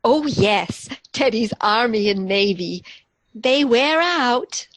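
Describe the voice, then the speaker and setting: A woman plays a dainty old spinster in a radio comedy, gentle and genteel. She speaks slowly and hesitantly in a fairly high voice, recorded close. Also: animated, no room sound, full character voice. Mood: sweet, welcoming. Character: gentle, dainty elderly spinster sister, cheerfully macabre. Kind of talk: radio comedy theatre acting.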